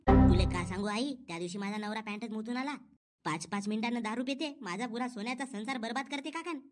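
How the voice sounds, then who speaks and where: A man's voice: sits high, quick pace, loud music behind the voice, lightly acted. A man with a rural Maharashtrian accent voicing an aggrieved young village wife in a comedy cartoon, grumbling in a plaintive, gossipy way. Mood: complaining.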